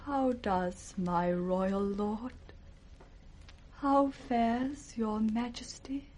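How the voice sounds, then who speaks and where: An actress with a British accent playing a gentle, devoted princess in a 1960s radio Shakespeare, speaking in a hushed, tender, breathy way. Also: female voice, a little high, drawn-out words, low-quality recording. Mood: hushed, anxious. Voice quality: breathy, soft. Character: gentle, devoted princess, daughter of an old king.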